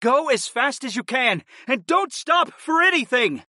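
Heroic fantasy fighter, acted by a male narrator: commanding, protective, loud high-pitched shouts, very dry audiobook sound. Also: big pitch swings, a little slow, no echo, heavily acted. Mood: urgent, commanding. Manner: commanding shouts over a crowd. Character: heroic shapeshifter warrior husband and father, commanding, protective. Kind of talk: dramatic audiobook character acting.

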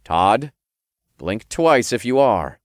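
A man voicing a defiant, sarcastic young hero and demon king in a fantasy audiobook, forceful and informal. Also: on the low side, sing-song, slow, no echo, studio quality. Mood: challenging.

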